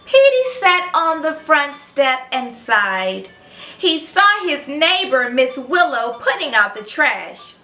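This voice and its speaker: A woman hosting a children's read-aloud, narrating in an animated, expressive storybook style and speaking directly to young listeners. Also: sits high, roomy sound.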